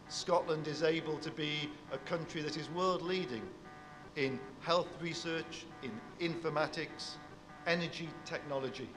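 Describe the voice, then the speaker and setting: Man, university speaker, big voice, slightly high, long hall echo, music underneath. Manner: warm, measured address to an audience, big voice. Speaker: university professor giving a speech. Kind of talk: formal public speech.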